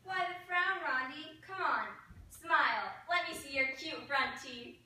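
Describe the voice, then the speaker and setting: A woman's voice: high voice, measured pace. A woman playing a cheeky, teasing schoolgirl in a staged reader's theatre reading. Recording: very echoey room, distant mic, loud noise.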